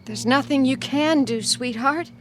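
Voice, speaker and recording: A woman's voice: high voice, measured pace. An actress in a radio drama playing a middle-aged mother who is soothing yet firm. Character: firm, consoling mother smoothing things over. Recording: music behind the voice.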